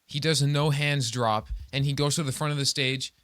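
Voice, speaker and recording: A man's voice: mid-range voice, steady tone, moderate pace, fairly bright tone, clear, resonant tone. A man co-hosting a podcast in casual, conversational chat. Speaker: podcast co-host.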